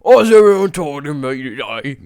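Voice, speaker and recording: A man's voice: fairly high pitch, sing-song, brisk, a little mellow, clean tone, no echo, studio quality. A man voicing an irritable, grumbling English man with an English accent in a comic fan story. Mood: flustered. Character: irritable, sputtering English man, personified country.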